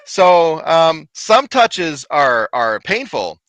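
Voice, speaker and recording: A man telling a lively, laughing story from his paranormal investigations in casual chat. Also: on the high side, drawn-out words, no room sound. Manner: animated, laughing, big voice.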